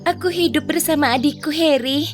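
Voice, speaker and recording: A woman's voice: sits high, brisk, natural voice. A woman voicing a sweet, forgetful young girl in a cartoon, speaking eagerly in a childlike way. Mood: earnest. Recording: music behind.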